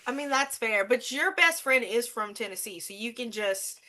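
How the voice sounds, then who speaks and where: A woman from Louisiana with a Louisiana accent, chatting casually as co-host, animated and laughing often, with plenty of swearing. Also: on the high side, normal speed. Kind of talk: casual chat.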